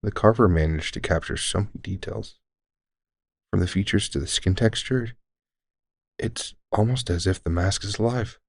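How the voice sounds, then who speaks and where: A man playing a deranged centuries-old elf shopkeeper who is courteous, formal and unsettlingly calm, in an audio roleplay. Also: fairly low pitch, moderate pace, vocal fry, dry recording, studio quality. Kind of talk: scripted audio roleplay addressing the listener.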